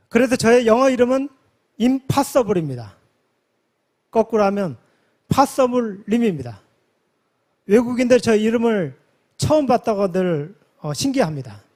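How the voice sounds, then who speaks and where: Korean guy in his 40s, pretty high voice, giving a church-type testimony speech, formal and deliberate. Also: a little mellow. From South Korea, from a village near Yeosu. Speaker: conference member giving a testimony.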